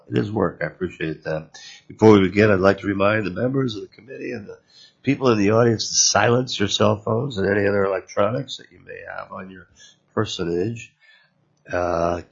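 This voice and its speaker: Gravelly low-voiced committee chair. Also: male voice, muffled recording. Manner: procedural, halting with uhs. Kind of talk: formal hearing procedure.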